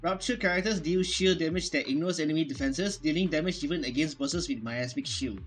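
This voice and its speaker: A woman's voice: low-pitched, a little fast. A woman streamer giving a casual reaction while playing a video game. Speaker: gaming streamer. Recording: loud game music behind. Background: game music.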